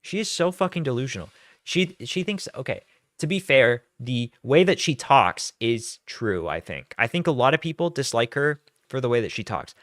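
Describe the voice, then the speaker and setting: A man reacting to a clip with mocking, exasperated commentary, cursing freely as he picks it apart. His voice sits at an ordinary middle pitch. The sound is dry and close. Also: animated, normal speed, fairly bright tone, dry recording.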